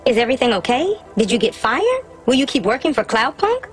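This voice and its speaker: Eager, lively, high-voiced child. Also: female voice, fairly quick, faint music behind. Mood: anxious, eager. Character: eager, chatty, anxious-to-please young child. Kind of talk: video game character acting.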